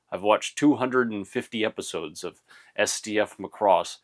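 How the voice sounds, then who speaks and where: A man's voice: fairly low pitch, a bit bright. Man rambling about his anime collection. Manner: rambling, many fillers and restarts. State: losing his voice. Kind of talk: casual rambling show-and-tell.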